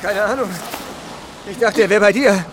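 An actor playing a ruthless, commanding island leader with a scornful manner in a German radio horror drama. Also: male voice, high voice, moderate pace, very noisy, close to an everyday voice. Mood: tense, resolute.

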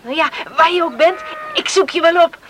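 A woman's voice: very high voice, normal speed, a bit bright, a little hiss or hum. An actress playing a caring, inquisitive village girl in a Dutch radio play. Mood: fondly concerned.

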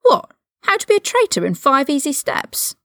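A woman's voice: medium pitch, animated, fairly quick, no room sound, clean studio sound, lightly acted. A woman voicing a hot-headed, loyal eighteen-year-old male wizard prisoner with blunt, indignant, informal speech in a story reading. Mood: outraged.

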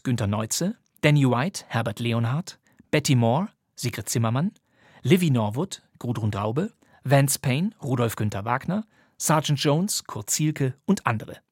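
A man's voice: mid-range voice, husky, no echo, studio quality. A man hosting a crime podcast, presenting radio plays from a script. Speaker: podcast host. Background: music.

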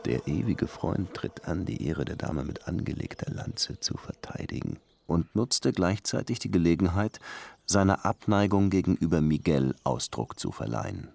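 A man's voice: sits low, expressive, moderate pace, vocal fry, darkish tone, full character voice. An actor playing a reflective detective who narrates his case in a radio drama, composed, literary and dryly ironic. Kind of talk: scripted first-person radio drama narration. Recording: music under the voice.

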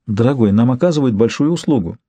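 Audiobook role: male reader as a courteous, coquettish wife, gracious and eager, mellow and close-miked. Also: medium pitch, lively intonation, fast, slightly rough, no echo. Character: polite, slightly coquettish married young woman.

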